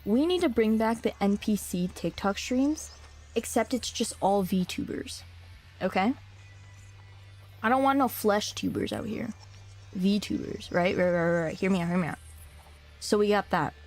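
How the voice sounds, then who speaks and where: A young woman streamer chatting loosely with her viewers, full of slang and restarts, her voice a little hoarse from a cough. She sits at a middling pitch and wanders from thought to thought. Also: animated, a little slow, long pauses, a little mellow. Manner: slangy, rambling, talks to chat. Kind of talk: casual streaming chat, reading a visual novel aloud.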